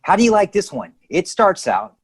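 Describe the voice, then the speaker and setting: A man's voice: sits high, normal speed, breathy edge, full character voice. Casting: eager American teen boy, slangy schemer for radio sitcom, scratchy voice, bouncing intonation. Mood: proud salesmanship. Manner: excitable, boastful, slangy. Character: eager, cocky teenage son full of schemes. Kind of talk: live radio sitcom acting.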